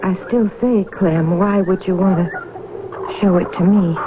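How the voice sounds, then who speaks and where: An actress playing a firm, loyal young farm wife with an American accent, earnest and guarded, in a 1970s radio drama. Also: female voice, medium pitch, slow, lightly acted. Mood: uneasy, guarded. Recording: tinny, noisy 1970s radio broadcast.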